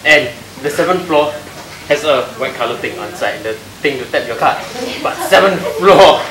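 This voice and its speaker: A man's voice: fairly high pitch, lively intonation, normal speed, far from the mic, background noise. A young man with a Singaporean accent chatting casually and jokingly to a camera indoors. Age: young adult. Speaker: student cameraman in a behind-the-scenes vlog. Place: indoor office studio. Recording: echoey room with background chatter.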